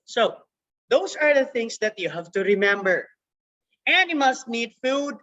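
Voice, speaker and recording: A woman teaching a young children's science class over a video call, repeating words slowly for the kids. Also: a little high, very animated, fairly bright tone. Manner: slow, repetitive, drawn-out words for children. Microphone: computer mic on a video call. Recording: dull lo-fi video call audio.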